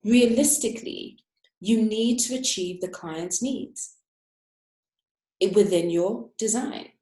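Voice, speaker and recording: A woman, an interior architect, giving a prepared, reflective talk and slowing down to stress key words. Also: mid-range voice, warm tone, roomy sound, low-quality recording. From Africa.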